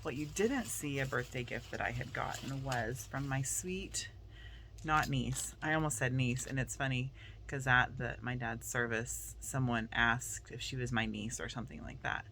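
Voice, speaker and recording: A woman's voice: extremely low pitch, normal speed, a bit bright, a little hiss or hum. A middle-aged woman with a Southern US accent, chatting casually to camera as a vlogger, rambling with plenty of fillers. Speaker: vlogger and teacher. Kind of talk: casual vlog chat to camera. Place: outside by her car at school, then indoors at night.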